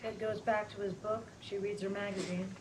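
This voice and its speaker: A woman's voice: mid-range voice, little pitch movement, normal speed. A woman reading stage directions as scripted narration at a live table read. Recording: noisy, distant live room with audience.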